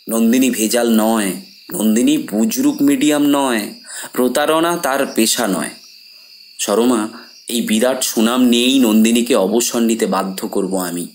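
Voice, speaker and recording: A man's voice: medium pitch, close to an everyday voice. An actor playing a confident, devoted fiancé in his thirties, speaking reassuringly and emphatically in an audio horror drama. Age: 30s.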